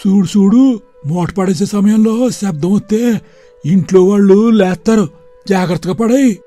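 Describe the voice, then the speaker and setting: A woman's voice: medium pitch, moderate pace, mellow, faint music behind, natural voice. A woman voicing a jumpy male thief who urges his partner to hurry. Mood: urgent.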